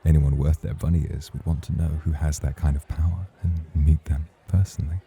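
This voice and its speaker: Man playing very deep, dark-voiced suave club host. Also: steady tone, brisk. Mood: suave, intrigued. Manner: formal, courtly, smoothly flirtatious. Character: suave, patient male nightclub host, teasing rival charmer. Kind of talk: ASMR roleplay acting.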